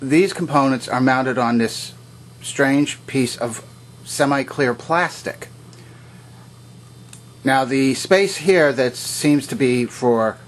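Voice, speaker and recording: A man with a medium-pitched voice narrates a hands-on technical demonstration. He talks without a script, pointing out each part methodically. His delivery is plain and instructional. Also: a little hiss or hum. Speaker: presenter of vintage electronics demonstrations. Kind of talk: unscripted explanatory demonstration narration.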